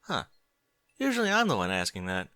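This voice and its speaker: A man's voice: lowish voice, huge pitch swings, fairly quick, bright, forceful tone, clear, resonant tone, no echo, studio quality, heavily acted. A man voicing a wisecracking young male dragon with a sarcastic, teasing manner. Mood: casual.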